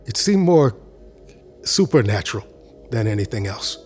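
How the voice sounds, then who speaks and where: A man's voice: lowish voice, sing-song, normal speed, darkish tone, soft background music. A man playing a distraught, defensive father in an audio drama, bitter and stammering with agitation. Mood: guarded, bitter.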